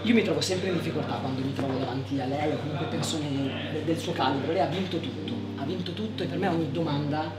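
A man's voice: a little high, quick pace, few pauses. A young man, asking wordy, deferential questions in a conversational sports interview. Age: young adult. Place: indoor lounge, seated on a sofa. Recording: echoey room, distant mic, music underneath. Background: background music.

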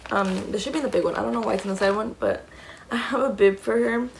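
Raspy young mom, chatty vlog. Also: female voice, medium pitch, quick pace, a little hiss or hum, low-quality recording. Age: young adult. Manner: chatty, rambling, many "um" and "like". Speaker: mom vlogger. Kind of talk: casual show-and-tell vlog. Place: small indoor room against a plain wall.

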